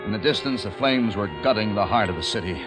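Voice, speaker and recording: A very low-voiced, resolute male survivor of a dead world, played by a man, speaks with solemn, deliberate weight. It is vintage radio drama, with music clearly running under the voice. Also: animated, close to an everyday voice. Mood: grave narrating. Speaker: radio drama lead actor and narrator. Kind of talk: old time radio dramatic acting and narration.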